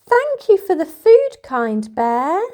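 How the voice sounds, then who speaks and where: Woman voicing a high, chirpy little storybook bird. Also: wildly swooping pitch, slow pace, warm tone, heavily acted. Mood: grateful. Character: small male bird, chirpy, upset, fair-minded friend.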